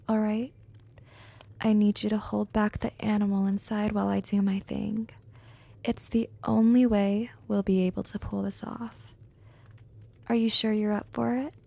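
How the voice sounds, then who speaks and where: A woman voicing a gentle, teasing, seductive vampire hypnotist in a soft, breathy ASMR roleplay, coaxing and soothing. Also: medium pitch. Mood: cautioning, soft. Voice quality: soft, breathy, often whispering.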